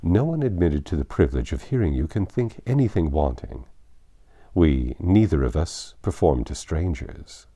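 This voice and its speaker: A man voicing a proud, reserved young gentleman in a storybook reading, formal and stiffly courteous. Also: rumbling low, sing-song, normal speed, full character voice. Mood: gallant.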